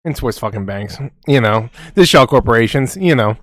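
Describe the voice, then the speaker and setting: A man's voice: mid-range voice, lively intonation, normal speed, a bit bright, no room sound. Young male co-host, loose and profane, medium voice, dry close sound. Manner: rambling, swears constantly. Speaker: podcast co-host. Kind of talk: casual chat.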